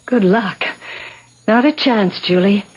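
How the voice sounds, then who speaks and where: Poised club wife, husky, cryptic, fatalistic, vintage radio drama. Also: female voice, medium pitch, slow pace, dull audio. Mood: knowingly ominous. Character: gracious hostess hiding knowing, fatalistic warnings. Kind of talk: 1970s radio drama acting. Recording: 1970s radio broadcast recording.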